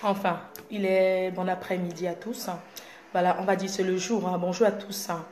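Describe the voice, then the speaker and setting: A woman's voice: fairly low pitch, level tone, moderate pace, a little mellow, reverberant. A woman from Cameroon with a Cameroonian French accent, a blogger talking straight to camera in a boastful, confrontational, mocking way. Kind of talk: direct-to-camera rant.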